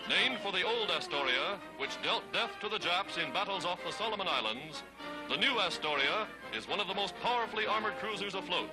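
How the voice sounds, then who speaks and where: A man's voice: high-pitched, animated, brisk, rough recording. An American man narrating a 1940s newsreel in an emphatic, dramatic, declamatory style, reading from a script. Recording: 1940s newsreel soundtrack under loud music. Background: loud music.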